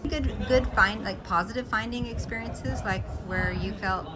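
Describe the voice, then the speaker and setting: A woman interviewing, asking brisk, short questions with some restarts. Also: medium pitch, over loud music.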